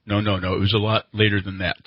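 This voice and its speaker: A male radio host with a husky, rough-edged voice at a middle pitch. He talks conversationally into a studio microphone and leans on repeated words. The sound is dry and a little dull. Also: little pitch movement, quick pace, no room sound. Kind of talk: live talk radio hosting with sponsor reads.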